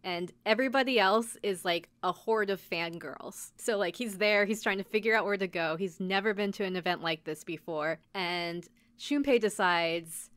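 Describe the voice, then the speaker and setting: A woman's voice: mid-range voice, normal speed, few pauses, fairly bright tone, smooth, no echo. A woman podcast co-host telling a story in casual chat, informally, with lots of "like" and quoted asides. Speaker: podcast co-host recapping an anime episode. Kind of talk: casual chat, episode recap.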